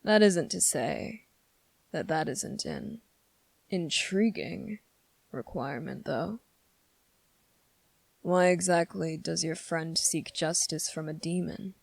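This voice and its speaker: A sardonic demon acted by a woman addresses the mortal who summoned it with smug condescension. The voice is on the low side with plenty of gravelly creak, very unhurried, with drawn-out pauses, in a dramatic ASMR roleplay. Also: no echo, exaggerated voice. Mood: smug, sly.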